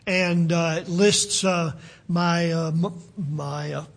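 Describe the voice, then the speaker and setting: An older man from West Virginia with a West Virginian accent, preaching a sermon in a halting way with many fillers and restarts. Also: fairly high pitch, fairly even intonation, vocal fry. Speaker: pastor.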